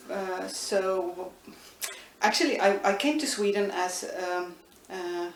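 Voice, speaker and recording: A middle-aged woman, thoughtfully answering interview questions with many pauses and restarts. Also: medium pitch. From Estonia. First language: Estonian. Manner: thoughtful, frequent hesitations and restarts. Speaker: interviewee, linguistics professor. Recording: echoey room, distant mic, faint noise.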